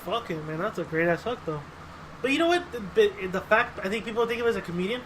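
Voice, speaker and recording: A man's voice: high voice, lively intonation, fast, a bit bright, poor audio. A man chatting casually as a podcast host, emphatic and profane, often repeating his phrases. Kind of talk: casual chat. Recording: faint background noise.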